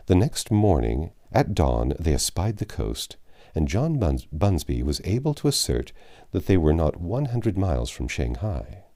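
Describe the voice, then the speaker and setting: A man reading a classic adventure novel aloud as a storybook narrator, with a measured, dramatic storytelling delivery. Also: very deep voice, mellow. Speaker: audiobook narrator.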